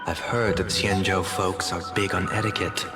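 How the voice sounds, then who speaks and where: An actor voicing a reserved, formal, subtly probing mind reader in a video game. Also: male voice, deep voice, fairly even intonation, moderate pace, loud music behind the voice, lightly acted. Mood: coolly polite.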